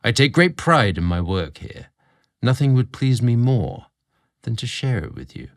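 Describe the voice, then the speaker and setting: A man's voice: medium pitch, very animated, unhurried. A man voicing a proud, courteous young male gardener in a storybook romance, formal and eager to please. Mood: proud, inviting. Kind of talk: storybook character dialogue.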